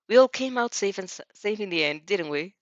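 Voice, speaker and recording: A woman's voice: medium pitch, expressive, a little fast, no echo, dull audio, close to an everyday voice. Woman acting an earnest, polite teenage heroine, dry sound. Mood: reassuring.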